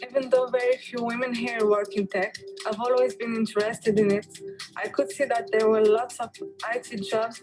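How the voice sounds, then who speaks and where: A woman's voice: a little high, rough recording. A young woman from Morocco narrating a scripted personal testimonial as a job training student. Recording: music bed under voice-over.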